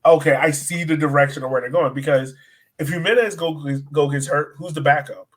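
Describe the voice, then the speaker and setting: A man's voice: fairly high pitch, fairly quick. A man hosting a sports podcast, chatting informally and repeating phrases for emphasis. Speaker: soccer podcast host. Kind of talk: casual sports discussion.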